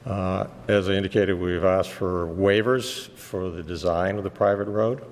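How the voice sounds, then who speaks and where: A man with a cold, losing his voice, presenting a technical site plan to a planning board in a measured way with many "uh" fillers. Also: deep voice, fairly even intonation, long reverb tail, a little hiss or hum. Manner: measured and explanatory, with frequent "uh" fillers. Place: town meeting room.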